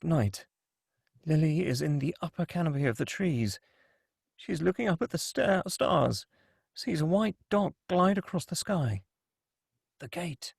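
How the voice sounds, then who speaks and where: A man narrates a screenplay's stage directions with dramatic intent. His voice sits a little high with plenty of fry and a slight husk, recorded close and dry. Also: no echo. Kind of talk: dramatic scripted narration at a script table read.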